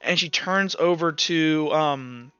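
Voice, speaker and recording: A man's voice: a little high, sharp tone, clear, resonant tone, no room sound, lo-fi sound. A young man narrating a tabletop role-playing session as game master, with many fillers and restarts. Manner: halting, filler-heavy narration.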